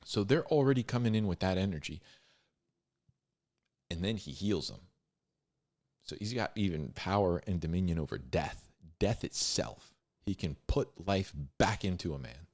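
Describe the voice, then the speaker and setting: A man giving informal, off-the-cuff Bible commentary in a conversational, halting way, repeating phrases for emphasis. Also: low-pitched, animated, a little mellow. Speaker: Bible storyteller and commentator, host.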